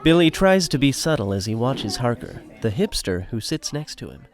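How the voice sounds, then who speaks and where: A man's voice: medium pitch, normal speed, a crowd in the background. A man narrating a scripted comedy story in a playful, conversational and wry way. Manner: wry, animated.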